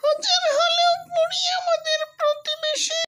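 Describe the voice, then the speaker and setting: A feisty little cartoon fox, speaking in an extremely high, squeaky voice. The pack leader is bold and confrontational, ready for a scrap. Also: flat delivery, a little slow, bright, forceful tone, full character voice. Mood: fierce, threatening. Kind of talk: cartoon character dub.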